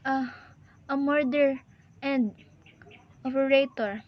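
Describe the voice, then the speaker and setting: A woman playing an anxious, sickly, demanding wife in an amateur radio play, fretful, halting and insistent. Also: high voice, fairly even intonation, lo-fi sound. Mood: shaken.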